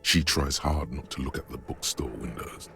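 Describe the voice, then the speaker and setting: A man voicing a solemn, brooding inner-voice narrator in a video game, speaking in measured, literary scripted narration. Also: fairly even intonation, sharp tone, slight background noise, heavily acted. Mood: somber. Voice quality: deep, gravelly.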